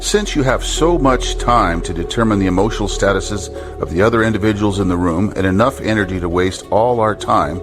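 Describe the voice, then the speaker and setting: A domineering drill sergeant played by a man in an audio drama, with a fairly low voice. He barks orders and mocks recruits with cold sarcasm, and music plays clearly behind him. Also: fairly quick, hardly any pauses, natural voice. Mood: sarcastic, angry. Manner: commanding, sarcastic.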